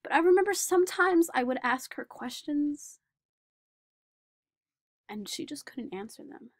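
Woman acting a halting, high-voiced awkward savant. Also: animated, no echo. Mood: lonely, hushed. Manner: halting, repeating words, swinging from whispers to nervous outbursts. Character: shy, socially awkward male savant recalling lonely childhood. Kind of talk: dramatic monologue for a speech competition.

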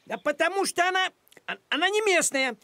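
High, pompous cartoon tomcat. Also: expressive, a little fast, pushed and bright, dry recording, full character voice. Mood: defensive, huffy. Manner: pompous, huffy, declaiming. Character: proud, grumpy, bossy talking tomcat, stickler for village tradition. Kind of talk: animated children's cartoon acting.

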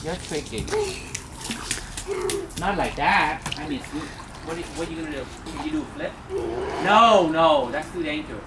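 A man's voice: fairly high pitch, expressive, normal speed, vocal fry, long pauses. A middle-aged man, coaxing and teasing children as he coaches them by a backyard pool, recorded outdoors on a camera a few meters away. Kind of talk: casual family coaching. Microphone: handheld camera a few meters off. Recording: splashing water noise.

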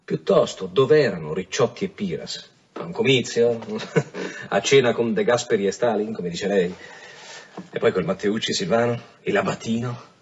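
Brooding police commissioner, radio drama, low voice, terse muttering, dull recording. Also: male voice, normal speed, slightly rough. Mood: impatient, probing. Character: brooding, businesslike male police deputy commissioner. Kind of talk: Italian radio drama acting.

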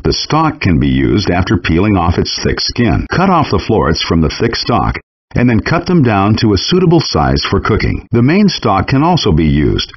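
A man reading scripted step-by-step cooking instructions as a video game's chef guide, in a calm, even instructional manner. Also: on the low side, moderate pace, slightly rough. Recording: dull lo-fi game audio rip.

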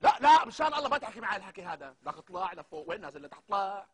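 A man's voice: high-pitched, big pitch swings, very fast, slightly rough, exaggerated voice. A man playing an argumentative, hot-tempered barber in a comedy sketch, speaking with a Syrian accent and repeating himself. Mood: angry, exasperated. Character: hot-tempered, chatty male barber ranting into his phone.

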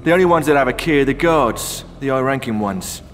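A man's voice: medium pitch, expressive, brisk, close to an everyday voice. A man playing a gossipy, streetwise prison inmate in a video game, telling grisly stories and testy about interruptions. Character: gossipy, irritable male prison inmate spinning grisly tales. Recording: long echoing reverb, faint music.

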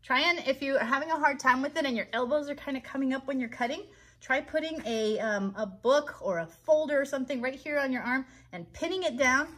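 A woman's voice: high voice, fairly quick, fairly bright tone. An American woman in her thirties hosting a live craft tutorial for children, chatty and encouraging as she talks directly to them. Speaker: children's craft host and puppeteer. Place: child's bedroom set indoors.

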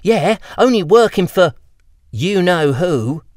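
A man's voice: sits high, big pitch swings, takes it slowly, a little mellow, dry recording, studio quality, pitched above the performer's own voice, full character voice. A man voicing a small, sassy young girl apprentice, gossipy and a bit scornful.

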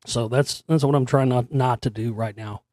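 Hoarse man hosting a talk show. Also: mid-range voice, steady tone, moderate pace, a little mellow, no room sound, clean studio sound. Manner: rambling, conversational, frequent fillers and mild swearing. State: hoarse, voice recovering after straining it. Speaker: radio show host. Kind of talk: casual solo talk show monologue.

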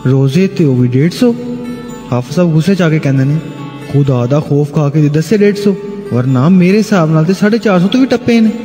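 A man's voice: fairly high pitch, dark tone, lightly acted. A man with a Pakistani accent playing a mock-grand master of ceremonies in a scripted satirical comedy show. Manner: mock-grand, formal, storytelling. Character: mock-formal male master of ceremonies introducing politicians. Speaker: show host. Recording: hall reverb with music.

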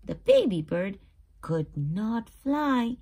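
A woman hosting a read-aloud for young children, narrating expressively and pausing to explain and ask simple questions. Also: medium pitch, big pitch swings, mellow, slightly rough. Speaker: storybook reader and channel host.

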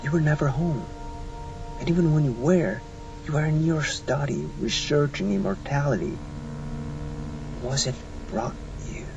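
A man's voice: a little high, measured pace, long pauses, pitched above the performer's own voice. A man voicing a reproachful young granddaughter in an audiobook, throwing pointed, accusing questions. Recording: muffled recording with music behind.

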